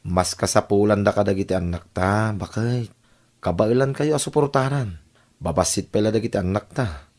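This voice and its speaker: A man's voice: on the low side, normal speed, darkish tone, lightly acted. A man with a Filipino accent playing a hard-up, caring husband and father in an audio drama. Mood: concerned. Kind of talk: Ilocano audio drama acting.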